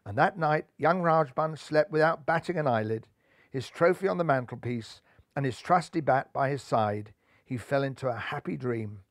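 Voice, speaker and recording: A man's voice: medium pitch, normal speed, no room sound, studio quality. A man reading a storybook aloud as dramatic, theatrical narration, building suspense.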